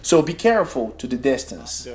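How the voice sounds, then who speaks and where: A man's voice: medium pitch, big pitch swings, normal speed. A young man from Brazil with a Brazilian accent, a non-native English speaker explaining gameplay tips step by step in a tutorial voice-over. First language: Portuguese. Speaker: gaming tutorial host.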